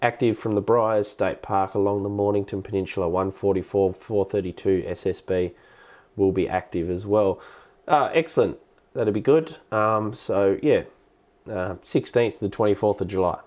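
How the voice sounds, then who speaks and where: A man with an Australian accent and a cold, explaining things casually and haltingly as the host of a hobbyist live stream. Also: on the low side, darkish tone. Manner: halting, hesitant delivery with repeated words. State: has a cold.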